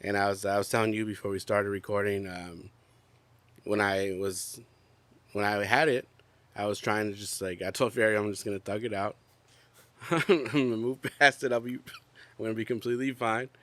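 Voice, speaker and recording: Young man, low voice, congested and coughing, relaxed podcast co-host. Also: normal speed, dry recording. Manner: chatty, rambling with many fillers and laughs. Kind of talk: casual chat. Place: outdoors.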